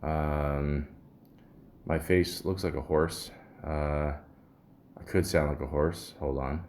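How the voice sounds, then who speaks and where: Deep-voiced man, muffled and grumbling. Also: fairly even intonation, slow, darkish tone, slight background noise. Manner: rambling, grumbling, profane. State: sore throat. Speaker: podcast host. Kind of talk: casual solo podcast rambling, reading symptom lists aloud.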